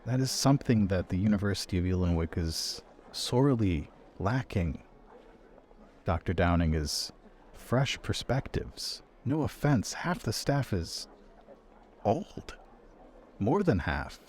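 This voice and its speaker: A man playing a principled, politely stubborn young academic in tabletop roleplay, speaking in a measured way with pauses. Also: fairly low pitch, very animated, a little mellow, a little hiss or hum. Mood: firm, earnest. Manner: measured, pausing mid-sentence.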